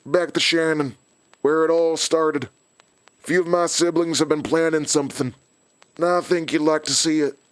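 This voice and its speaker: A smug, menacing male villain played by an actor in a horror audio drama. His voice is on the higher side and recorded dry, with a controlled, calculating and taunting delivery. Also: steady tone, normal speed, dry recording, natural voice.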